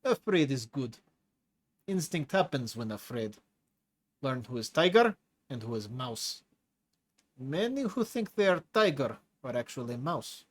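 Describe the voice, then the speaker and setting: A man's voice: mid-range voice, very animated, a little slow, pauses often. A man voicing a huge, clawed male tiger-like prisoner who speaks broken English in a thick accent and boasts about his fights. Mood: smug. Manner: boastful, relishing violence.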